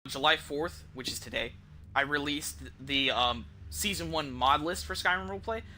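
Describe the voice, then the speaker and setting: A man's voice: a little high, normal speed, sharp tone, slight background noise. A man who streams games, giving casual, rambling commentary and thinking aloud in informal, mildly profane language. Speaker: game streamer.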